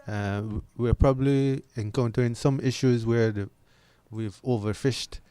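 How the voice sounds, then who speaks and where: A man speaking as a studio guest, explaining things earnestly and a little haltingly. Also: medium pitch, fairly even intonation, warm tone, smooth. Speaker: studio guest, conservation group representative. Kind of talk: explanatory interview talk. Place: radio studio.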